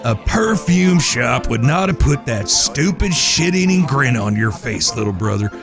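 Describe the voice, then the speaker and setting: A man's voice: medium pitch, lively intonation, unhurried, creaky, music in the background. A man playing a folksy, wry older cowboy brother with an American Western drawl, ribbing his kin in an audio drama. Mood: wry teasing. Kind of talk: audio drama acting, Old West dialogue.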